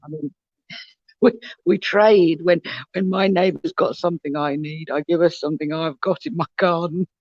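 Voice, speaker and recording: A man's voice: fairly high pitch, fairly even intonation, moderate pace, a little mellow, dry recording, highs cut off. A man chatting casually on an online panel, friendly, with laughs between phrases. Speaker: panel guest.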